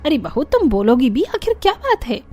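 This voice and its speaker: Woman putting on a brisk, high-voiced crafty auntie. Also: very animated, darkish tone, soft background music. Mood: puzzled, loud. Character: bossy, crafty neighbourhood auntie, middle-aged woman. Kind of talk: Hindi cartoon story character voice.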